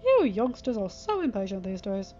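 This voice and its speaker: A woman's voice: mid-range voice, very animated, normal speed, dark tone, close to an everyday voice. A woman voicing a mischievous male chaos spirit, teasing and drawn out. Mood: lazy. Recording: slightly muffled with faint music.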